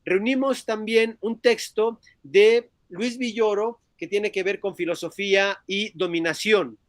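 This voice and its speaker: A man speaks in a notably high register for a male voice. He presents like a university lecturer at a seminar, often pausing on fillers as he looks for the next phrase. The sound is dry, with very little room in it. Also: fairly even intonation, no echo. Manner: halting, searching for words, frequent filler words. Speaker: philosophy lecturer presenting a book. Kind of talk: academic seminar talk.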